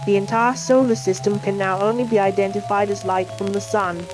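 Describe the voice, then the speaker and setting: A woman's synthetic AI voice giving scripted educational science film narration in a measured, explanatory manner. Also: mid-range voice, little pitch movement, poor audio. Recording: music playing under the voice. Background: music.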